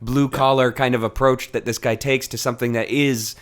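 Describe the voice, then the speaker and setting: A man's voice: medium pitch, little pitch movement, moderate pace. A man hosting a podcast, talking casually through a book discussion in a conversational way. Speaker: podcast host.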